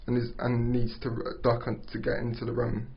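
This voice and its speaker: A man narrating stage directions at an amateur script table read, halting and stumbling over words. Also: mid-range voice, completely flat delivery. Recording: dull lo-fi recording.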